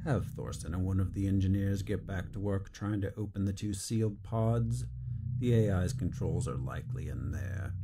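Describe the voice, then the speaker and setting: An actor playing a stern, curt bureaucrat interrogating a prisoner in an audio drama, formal and clipped in manner. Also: male voice, sits low, normal speed, noisy background. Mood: cold, skeptical.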